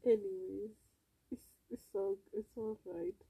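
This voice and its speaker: Very mellow, creaky Kenyan woman. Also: medium pitch, steady tone, unhurried, no echo, poor audio. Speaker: podcast co-host. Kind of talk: casual bantering chat, mixing Swahili and English.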